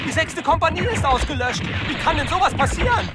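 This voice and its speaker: An actor playing a fretful, whining young squad soldier in a video game, sounding despairing. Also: male voice, extremely high pitch, a little fast, loud music behind the voice. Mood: panicked. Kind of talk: video game voice acting, German dub.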